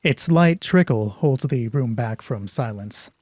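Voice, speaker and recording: Male narrator, husky and unhurried, for dramatic scripted storytelling; expressive, close dry sound. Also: mid-range voice, no room sound. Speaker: narrator and solo roleplay host.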